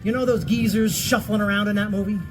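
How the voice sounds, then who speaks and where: A man playing an excitable, defensive husband of fifty-two in a stage comedy, stammering through animated protests. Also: high-pitched, quick pace, over music, lightly acted. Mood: agitated. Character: fifty-two-year-old husband, excitable, defensive, fretting over aging. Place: theatre stage. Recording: noisy live theatre stage recording.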